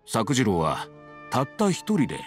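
A gentle, reflective old father from an anime game. His medium-pitched voice moves expressively yet stays measured and wistful, with faint music underneath. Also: wildly swooping pitch.

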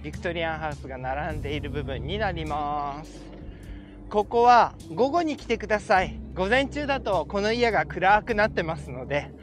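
A middle-aged man with a high voice narrates a city walk, polite and enthusiastic as he points things out. He speaks outdoors into a handheld camera. Background music runs clearly under his voice. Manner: chatty, polite, enthusiastic guide. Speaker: vlogger. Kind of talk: casual walking tour narration. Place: outdoors on city streets and in a park. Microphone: handheld wide-angle camera.

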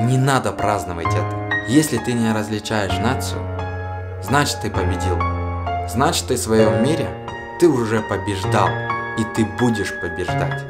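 A young man talking earnestly to camera from a small room, addressing his viewers directly. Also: on the low side. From Russia. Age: young adult. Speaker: video blogger. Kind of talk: casual to-camera commentary.

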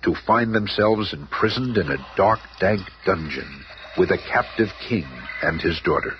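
A man's voice: deep voice, expressive, normal speed. A man narrating a superhero radio serial in a dramatic, scripted, suspenseful style. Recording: muffled old radio recording, faint noise.

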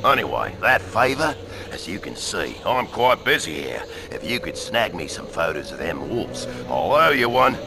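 A cheeky, boastful outdoorsman for an animated game. His low voice swoops up and down with a matey, colloquial lilt and a gravelly edge, over clear background music. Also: moderate pace. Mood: wheedling, boastful. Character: jovial, boastful rugged outdoorsman with a cheeky streak. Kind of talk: animated video game acting.

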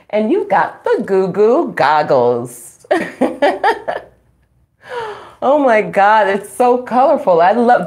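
Very animated, slow-paced woman reading to children. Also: medium pitch. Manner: animated, exclaiming, sing-song emphasis; addresses young listeners with questions. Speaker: children's storytime host. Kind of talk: read-aloud to young children. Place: small room at home, indoors.

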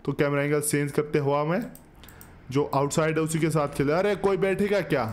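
Higher-voiced man doing game commentary. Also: steady tone, a little fast. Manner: chatty, joking, addresses viewers as bro. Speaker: gaming video host. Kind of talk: casual gameplay commentary. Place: small indoor studio setup. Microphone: desk microphone up close, with headset.

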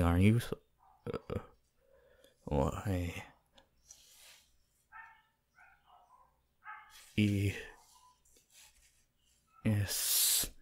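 Man acting a low, slow, teasing anime boy. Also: a little mellow. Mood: sceptical. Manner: halting, teasing, casual. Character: teasing, laid-back, sceptical teenage boy from anime. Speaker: voice actor. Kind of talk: intimate ASMR roleplay addressed to listener.

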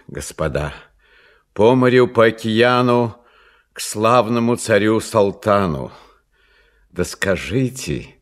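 A man voicing a courteous, melancholy young prince in expressive, drawn-out fairy-tale verse. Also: fairly low pitch.

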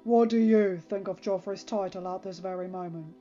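A woman's voice: medium pitch, normal speed, warm tone, lightly acted. A woman voicing a blunt, commanding grown king, recorded dull and lo-fi over music.

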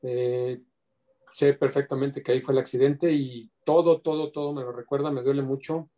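A man's voice: mid-range voice, steady tone, normal speed, mellow. A middle-aged man speaking earnestly on a video call, giving a reflective tribute to a friend and mixing in quoted asides. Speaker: community organizer paying tribute.

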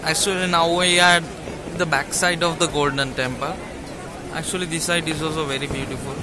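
A man's voice: a little high, steady tone, brisk, noisy background. A young man casually narrating a walking travel vlog, enthusiastic and leaning on the word "actually", recorded on a handheld phone in a crowded outdoor courtyard at night. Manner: enthusiastic, repeats "actually", simple phrasing. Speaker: travel vlogger. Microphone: handheld phone camera. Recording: phone audio with loud crowd and music. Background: loud festival crowd and music.

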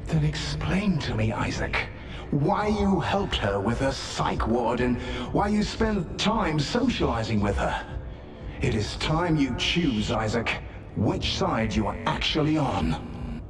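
A man's voice: medium pitch, big pitch swings, takes it slowly. An actor playing a bitter, domineering middle-aged colony leader in a video game, impassioned and oratorical as he presses his point. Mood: confrontational. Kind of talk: dramatic video game acting. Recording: music behind the voice.

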